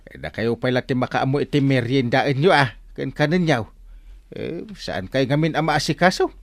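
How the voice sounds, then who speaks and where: A man with an Ilocano Filipino accent playing a brisk, generous village captain in a radio comedy drama, folksy and exclamatory. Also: medium pitch, dry recording, clean studio sound, lightly acted.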